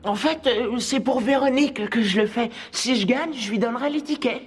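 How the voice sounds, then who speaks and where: A woman's voice: on the high side, normal speed, husky. A woman voicing a reluctant, anxious, good-natured schoolboy in a French cartoon dub, hesitant and whiny. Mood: sheepish.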